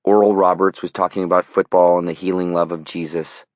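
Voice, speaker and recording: Male audiobook narrator, low register, dramatic scripted read, dry and slightly dull sound. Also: level tone, a little fast, dry recording.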